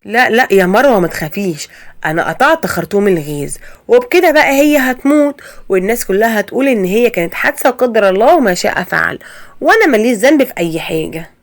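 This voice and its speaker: A woman's voice: medium pitch, lively intonation, fast, lightly acted. A woman with an Egyptian accent voicing a ruthless, scheming mother-in-law who sweet-talks and pleads. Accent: Egyptian Arabic. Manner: sweet-talking, then pleading.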